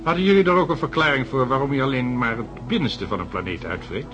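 An actor playing a calm, reasonable colleague, placating and mildly sceptical as he asks questions in a science-fiction radio drama. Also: medium pitch, quick pace, lo-fi sound, music in the background, natural voice. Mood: reasoning.

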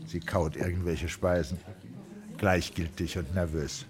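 A man narrating a literary radio drama in a measured, sombre manner. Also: deep voice, fairly even intonation, crowd noise.

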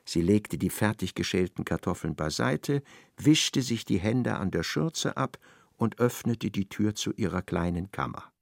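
A man's voice: lowish voice, animated, unhurried, vocal fry, dry recording. A man narrating a literary radio play as a storyteller, recorded in a studio. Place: dry studio.

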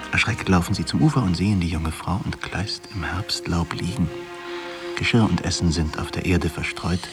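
An actor playing a grave narrator, telling a literary story in a measured manner for a radio drama. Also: male voice, low-pitched.

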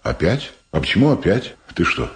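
A man's voice: low voice, sing-song, normal speed, a little hiss or hum. An actor playing a busy, self-important middle-aged prosecutor and evasive father in a Soviet radio drama, with hesitant, blustering speech. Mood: probing, defensive.

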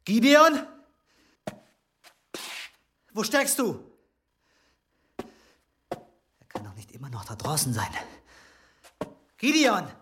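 Anxious, pious young monk. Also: male voice, high-pitched, wildly swooping pitch, takes it slowly, long pauses, fairly bright tone, a little hiss or hum, full character voice. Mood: anxious searching. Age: young adult. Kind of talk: German audio drama acting.

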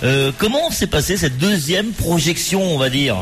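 A man hosting a live morning radio show, chatty and lively, sometimes drifting into a sing-song delivery. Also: a little high, normal speed, faint music behind. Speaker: radio host. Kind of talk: casual live radio interview.

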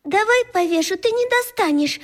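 A woman's voice: very high-pitched, lively intonation, normal speed. A woman playing a sad, proud schoolgirl of about eleven in a children's radio play. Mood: friendly, livelier.

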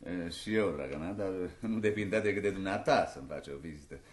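Actor as deep, courtly theatre maestro. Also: male voice, reverberant, natural voice. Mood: gallant, fond. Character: courtly, revered male theatre maestro, affectionately gallant. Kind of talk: radio play acting, comedy.

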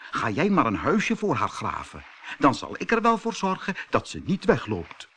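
A man's voice: mid-range voice, huge pitch swings, moderate pace, fairly bright tone, husky, heavily acted. A man voicing a fat, bossy, scheming mother toad in a children's fairy tale.

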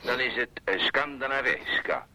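An actor playing a courteous, hesitant landlord on the telephone in a radio play. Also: male voice, medium pitch, expressive, moderate pace, noisy background. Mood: businesslike, polite. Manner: hesitant, courteous, repeats himself. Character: polite, obliging, slightly hesitant landlord on the telephone. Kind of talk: Dutch radio play acting.

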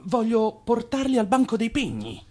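A man's voice: very high voice, big pitch swings, normal speed, a little mellow, heavily acted. An actor in a radio play playing a scheming, evasive immigrant man who talks persuasively but hesitates under pressure. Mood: flustered. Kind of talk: theatrical radio play dialogue.

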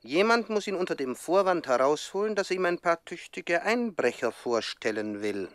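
An actor playing a cold, scheming gang boss in a radio play, smug and commanding. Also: male voice, a little high, very animated, normal speed, a bit bright, no echo. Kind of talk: 1960s German radio play acting.

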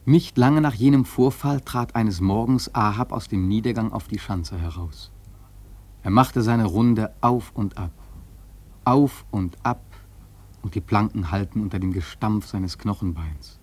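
Husky, low-voiced solemn sailor narrator. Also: male voice, unhurried, a little mellow. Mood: solemn, awed. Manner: solemn, emphatic, dramatic. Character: grave, awed sailor recounting his voyage. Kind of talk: literary radio drama narration.

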